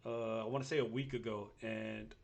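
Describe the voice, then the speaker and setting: A man's voice: lowish voice, steady tone, moderate pace, creaky. A man in his thirties chatting informally as a sports podcast host, with slangy, bantering delivery. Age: 30s. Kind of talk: casual sports podcast chat.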